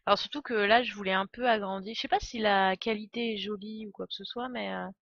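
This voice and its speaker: A woman's voice: mid-range voice, fairly even intonation, fast talker, vocal fry, a bit bright, dry recording, highs cut off. A woman doing casual live video game commentary, playful and joking, with slangy talk. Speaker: game streamer. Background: faint video game music and dialogue.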